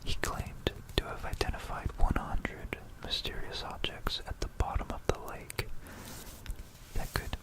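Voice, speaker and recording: An ASMR creator whispering about history in a hushed, rambling, musing way, close into a stereo microphone. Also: unhurried, low-quality recording. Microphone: close stereo binaural microphone.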